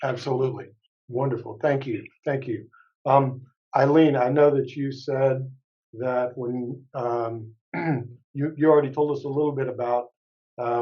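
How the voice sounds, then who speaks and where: A man's voice: mid-range voice, muffled recording. A man moderating a panel over a video call, thanking speakers and passing turns in an encouraging way. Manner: warm and encouraging, with fillers.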